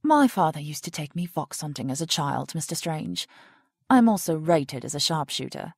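Officious female scientist, formal, lowish pitch, lively intonation, audio drama. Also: normal speed, creaky, slightly rough, dry recording, studio quality, exaggerated voice. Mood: urgent, resolute. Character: prim, rule-bound female research project director.

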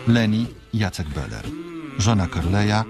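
A man's voice: fairly low pitch, sing-song, a little slow. A man announcing in a formal, scripted radio style. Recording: loud music behind.

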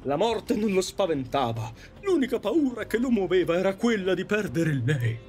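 A man's voice: sits high, very animated, moderate pace, darkish tone, soft background music. An actor playing a grateful, sentimental train passenger in an audio drama, telling his past with earnest, dramatic delivery. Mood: earnest, moved. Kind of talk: Italian audio drama acting.

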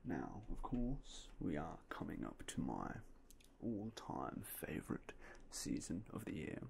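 A young man whispering softly and politely as a game shop clerk in an ASMR roleplay, close to a binaural mic. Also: low-pitched, sing-song, takes it slowly, gravelly, slight background noise. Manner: quiet, whispery, polite shopkeeper patter.

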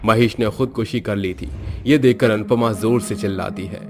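A man's voice: fairly low pitch, fairly even intonation, normal speed. A man narrating a scripted horror story.

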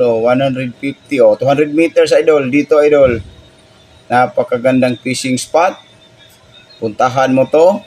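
A young man from the Philippines chats easily through a phone mic in a small indoor room. He walks the listener through the chart with friendly, repeated calls of "idol", pausing and restarting as he points things out. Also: mid-range voice, a little mellow, rough recording. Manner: chatty, keeps addressing the viewer as "idol". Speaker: fishing channel host. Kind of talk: casual screen-recorded chart walkthrough.

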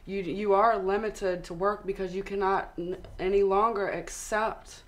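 A young woman with a Southern US accent, a guest on a studio interview, giving steady explanatory advice. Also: mid-range voice, unhurried. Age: young adult. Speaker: actress and model guest. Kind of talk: interview answers. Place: studio with city backdrop, seated on sofa.

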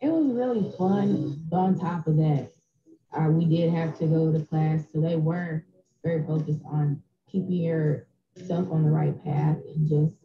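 A young woman studying nursing talks in an upbeat, casual way, with a slightly low voice. The recording is a little dull. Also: fairly even intonation, measured pace, over music. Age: young adult. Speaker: nursing student. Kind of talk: documentary interview.

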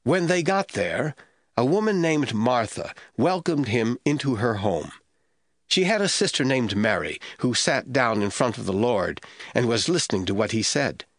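A man's voice: mid-range voice, sing-song, moderate pace, dry recording, studio quality. A man giving a scripted, dramatic narration of scripture as the narrator of an audio drama.

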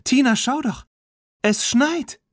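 A man's voice: extremely high pitch, slow, dry recording, studio quality, pitched above the performer's own voice. A man voicing a spirited, caring young girl, eager and earnest, in a children's audiobook. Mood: excited.

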